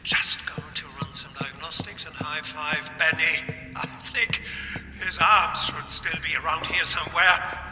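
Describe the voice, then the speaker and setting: An actor playing a nervous, chatty, friendly scientist in a video game, hesitant, apologetic and quick to get excited. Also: male voice, a little high, distant mic. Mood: sheepish, busy. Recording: echoey hall, loud music behind.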